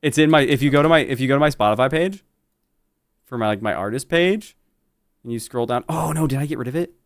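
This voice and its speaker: Man, mid-range pitch, dry close sound, casual co-host, teasing, rambling banter. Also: fast talker, no room sound. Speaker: show co-host.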